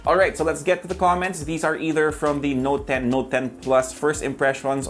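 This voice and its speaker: A man reviewing phones in a relaxed, conversational way, talking straight to his viewers. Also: mid-range voice. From Philippines. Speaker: tech reviewer. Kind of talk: casual scripted tech review.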